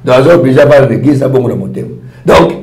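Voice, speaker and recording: A man's voice: mid-range voice, fast talker, gravelly, a little mellow, reverberant. A man from Congo, a party leader, answering interview questions in a rambling, repetitive way. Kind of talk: interview answers mixing French and Lingala.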